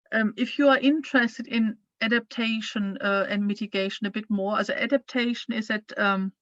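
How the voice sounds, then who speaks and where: A woman's voice: mid-range voice, fairly bright tone, no echo, muffled recording. A woman with a German accent giving an online training presentation, speaking hesitantly with many fillers and restarts. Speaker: climate training lecturer.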